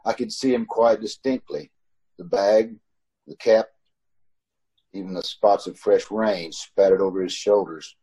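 Radio thriller lead, male actor: uneasy, haunted motorist, lowish mellow voice, dramatic storytelling. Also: fairly even intonation, husky. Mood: uneasy, reflective. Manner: measured narrating, nervous stammering in dialogue. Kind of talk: old-time radio drama narration and acting. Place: home, recorded over a video call.

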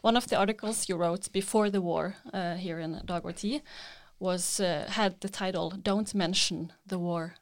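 A woman's voice: lowish voice, steady tone, normal speed, hardly any pauses. A woman asking questions in a conversational interview.

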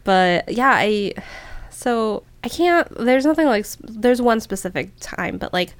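A woman's voice: fairly high pitch, animated, measured pace, no room sound. A woman co-hosting a podcast in casual chat, rambling and laughing often. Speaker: podcast co-host. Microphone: studio mic.

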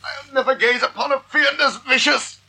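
A man's voice: extremely high pitch, normal speed, vocal fry, pushed and bright, breathy edge, full character voice. An actor playing a delirious older playboy gasping out in agony as he dies, in an old radio drama. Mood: agonized, delirious. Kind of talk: 1940s radio acting. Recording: old radio broadcast recording.

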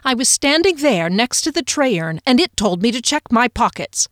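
A woman voicing an agitated male elf who hurls heated, shrill accusations. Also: very animated, moderate pace, fairly bright tone, no room sound, clean studio sound, exaggerated voice. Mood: accusing.

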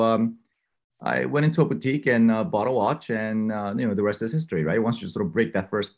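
Husky man rambling with fillers. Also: medium pitch. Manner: rambling, many fillers and restarts. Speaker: watch collector guest. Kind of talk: casual conversational interview. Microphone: remote call with earbuds.

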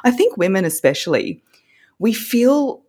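A woman with an Australian accent, a voice coach explaining confidence tips in a relaxed interview, laughing often as she talks. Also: medium pitch, a little slow, slightly rough. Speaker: voice coach guest. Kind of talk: conversational interview.

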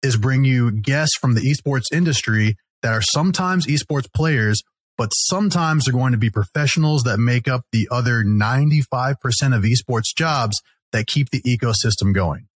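A man co-hosts a podcast in a Texan accent, introducing his guest in a friendly, measured way. His voice sits at a middle pitch, recorded close and dry with no room sound. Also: breathy edge, dry recording. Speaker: podcast co-host, attorney. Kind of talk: podcast interview.

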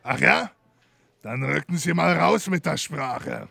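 A man playing a gruff, sarcastic police detective with a gravelly, husky voice in a German crime audio drama. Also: fairly high pitch, wildly swooping pitch, slow pace, pushed and bright, heavily acted. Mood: brisk, probing.

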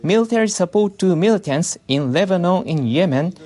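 Newsreader, medium pitch, formal and measured, reading a scripted world news report. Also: expressive, mellow. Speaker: news reader.

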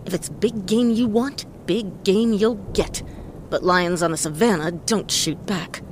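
An actress playing a tough, wisecracking female private detective in a noir audio play, speaking in a deadpan, sardonic way. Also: medium pitch, a little slow, slight background noise, natural voice. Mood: wry, challenging. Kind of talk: hard-boiled noir radio play acting. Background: prominent music.

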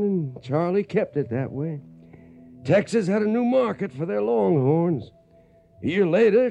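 An actor playing a plainspoken old cowhand, narrating a radio western in a folksy, reflective way. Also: male voice, high voice, creaky, dark tone, heavily acted. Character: plainspoken old cowhand recalling his trail days.

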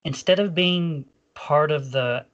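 A man's voice: on the high side, takes it slowly, fairly bright tone, lo-fi sound. A man co-hosting a casual fan podcast. He rambles, packs in "like" fillers and often restarts his sentences. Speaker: podcast co-host.

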